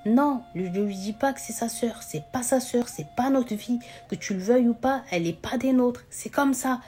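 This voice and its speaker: A woman's voice: a little high, normal speed, dark tone, soft background music, pitched above the performer's own voice, natural voice. A woman voicing a cruel, heartless adoptive father in a spoken story, harsh and dismissive. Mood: angry.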